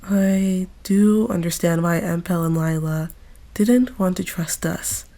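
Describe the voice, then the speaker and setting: A woman voicing a grown former knight who mentors a younger friend, gentle and reflective, in a fan audio drama. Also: fairly low pitch, slow. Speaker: voice actor.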